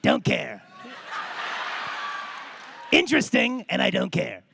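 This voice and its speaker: A man, a voice actor, giving loud, clownish comic answers at a live convention panel. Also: fairly high pitch, wildly swooping pitch, slow, vocal fry. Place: large convention panel hall. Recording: noisy convention hall with cheering crowd.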